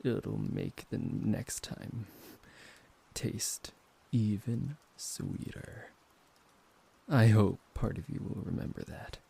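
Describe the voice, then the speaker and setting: A man playing a possessive, seductive vampire professor in an intimate ASMR roleplay, teasing and dominant, with a gravelly, husky voice. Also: on the low side, exaggerated voice. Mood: satisfied, teasing. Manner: slow, hushed, coaxing, condescendingly affectionate.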